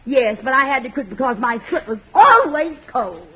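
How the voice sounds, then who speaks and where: A woman's voice: sits high, very animated, moderate pace, slightly rough, exaggerated voice. An actress playing a wealthy society dame and former showgirl, grandly affected yet slangy, in a 1940s radio comedy on a muffled old recording. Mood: boastful. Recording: muffled old radio broadcast, light noise.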